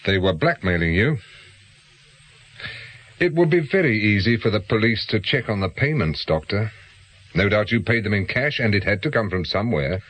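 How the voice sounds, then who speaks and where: An actor playing a shrewd ex-police inquiry agent with a British accent in a radio drama, blunt and probing. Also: male voice, on the low side, sing-song, moderate pace, pauses often, fairly bright tone. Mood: pressing, confident. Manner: blunt, probing, matter-of-fact.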